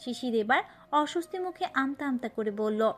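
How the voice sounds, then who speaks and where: A woman's voice: high voice, animated, moderate pace, faint music behind. A woman narrating a romantic story from a script.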